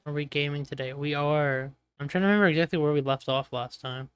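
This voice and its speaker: Clear-voiced young man running a game. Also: a little high, steady tone, fast, a bit bright. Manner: joking, teasing, trails off mid-sentence. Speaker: game master. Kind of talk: casual voice chat running a tabletop game. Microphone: online voice chat mic.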